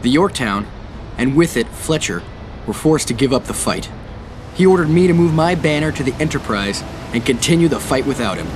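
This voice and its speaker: An actor playing a resolute, solemn American naval captain of the Second World War in a video game cutscene, speaking in a measured, commanding way. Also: male voice, medium pitch, expressive, a little hiss or hum, close to an everyday voice. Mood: grave, reflective. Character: resolute middle-aged male American naval captain of WWII. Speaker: ship captain and narrator.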